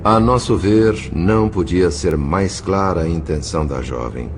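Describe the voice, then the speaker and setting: A man with a Brazilian accent playing a grave, compassionate narrator in an audio drama, in measured, literary phrasing. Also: sits low, music in the background. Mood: grave, concerned.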